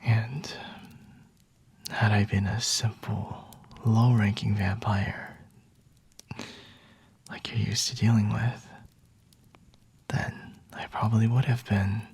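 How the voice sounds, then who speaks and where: Male actor as aloof, seductive vampire for ASMR roleplay: very breathy, unhurried, dry close-mic whisper, intimate and teasing. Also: on the low side, level tone, no echo, heavily acted. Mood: intimate, flirty. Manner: slow, hushed, haughty and seductive, with lingering pauses. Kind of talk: ASMR roleplay, scripted monologue to the listener. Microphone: close-up microphone.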